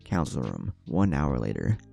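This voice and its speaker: Man, story narrator, very low voice, creaky, faint background music. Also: wildly swooping pitch, moderate pace, dark tone. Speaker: narrator of fan fiction. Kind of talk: scripted story narration with character dialogue.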